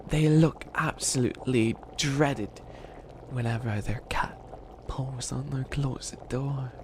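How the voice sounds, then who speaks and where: A man's voice: medium pitch, a little mellow, a little hiss or hum, lightly acted. A man playing a bumbling, dramatic bunny witch who fancies himself evil, rambling and scheming theatrically in a comedic ASMR roleplay.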